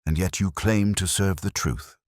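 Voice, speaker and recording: A man voicing a shrewd, commanding Victorian detective in a story, calm, grave and deliberate. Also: low-pitched, dry recording, clean studio sound, voice pushed up, lightly acted. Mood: stern. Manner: terse, deliberate, authoritative.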